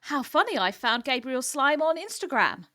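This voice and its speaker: A woman's voice: high-pitched, very animated, normal speed, sharp tone. A woman improvising comic dialogue in an audio drama.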